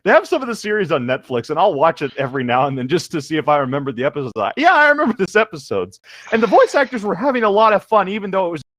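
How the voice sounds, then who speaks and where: A man's voice: sits high, very animated, rapid-fire, fairly bright tone, no echo, studio quality. A man co-hosting, chatting casually and reading a story aloud in a playful, theatrical, mocking way. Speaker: co-host.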